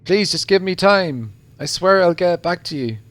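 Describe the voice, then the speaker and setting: A man's voice: high-pitched, steady tone, quick pace, creaky, dry recording, voice pushed up. A man voicing a groveling middle-aged male boss in a visual novel, pleading and wailing in desperation. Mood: desperate pleading.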